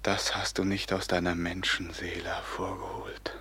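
A man's voice: low-pitched, monotone, moderate pace, pushed and bright, breathy edge, full character voice. An actor playing an anguished, betrayed young man turned grim robber captain, declaiming with passion in a classic German radio drama. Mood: grim resolve. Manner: declamatory, impassioned, bursting from whispers into cries.

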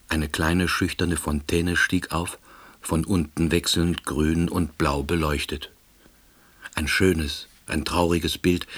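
A man's voice: low-pitched, a bit bright. An actor playing a timid, wistful novice salesman, narrating a radio play in a wry, understated way. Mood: wistful, resigned. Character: timid, wistful East Berlin man turned novice salesman. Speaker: narrator and lead character.

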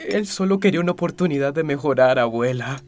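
Radio drama role, male actor: impatient young would-be migrant, high-pitched, Latin American accent, stubborn and pleading. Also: expressive, fast, a little hiss or hum, natural voice. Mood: impatient, defiant. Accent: Latin American Spanish. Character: restless, stubborn young man longing to emigrate.

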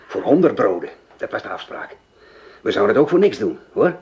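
Guy doing a cold scheming plotter, low gravelly voice, dull old radio play sound. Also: very animated, a little fast. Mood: scornful. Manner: cold, scornful, mocking. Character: menacing male plotter awaiting a spy. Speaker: radio drama actor.

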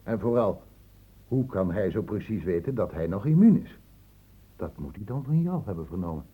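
An actor playing a stern, probing doctor in a Dutch radio drama, with measured, clinically precise questioning. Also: male voice, fairly low pitch, very animated, warm tone, slightly rough. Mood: probing, insistent. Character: probing, stern male doctor, calmly cornering a suspect. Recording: muffled phone-like old radio recording.

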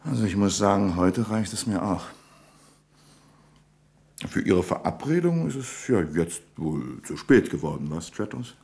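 An actor playing a pedantic, indignant bank manager in a 1970s radio comedy, formal and easily affronted. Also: male voice, sits low, expressive, a little slow, vocal fry, darkish tone, heavily acted. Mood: weary, polite.

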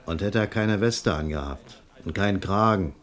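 An actor in a German radio play playing a gruff labourer, sardonic and curt, with a mocking streak. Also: male voice, sits low, normal speed, lightly acted.

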